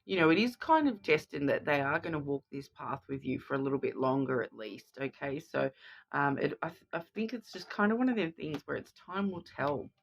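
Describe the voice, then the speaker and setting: A woman's voice: low voice, sing-song, fairly quick, vocal fry. A woman with a cold, sounding sniffly, giving a chatty card reading to viewers and often checking in with "okay?". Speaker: tarot card reader.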